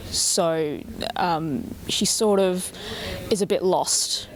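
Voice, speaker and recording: A woman, a voice actor speaking slowly with many fillers in an interview. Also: lowish voice, a little hiss or hum, rough recording. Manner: slow, full of fillers. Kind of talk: casual interview.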